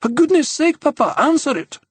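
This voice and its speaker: A man reading an audiobook, voicing a quick, indignant young woman who talks rapidly and emphatically. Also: a little high, animated, slightly rough, dry recording, voice pushed up. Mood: urgent.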